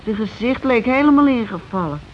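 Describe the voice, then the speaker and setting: An actress in a radio play as a shaken working-class housewife who speaks haltingly, repeats herself and falters mid-sentence. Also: female voice, a little high, expressive, close to an everyday voice. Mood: matter-of-fact, dazed. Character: shaken working-class housewife circling around grim news. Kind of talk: naturalistic radio play dialogue. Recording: muffled, noisy old radio broadcast recording.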